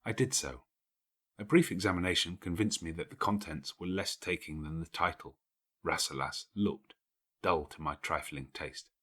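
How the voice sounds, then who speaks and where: A man reading a classic novel as an audiobook, in measured, expressive storytelling. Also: low-pitched, breathy edge. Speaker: audiobook narrator. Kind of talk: scripted literary narration of a classic novel.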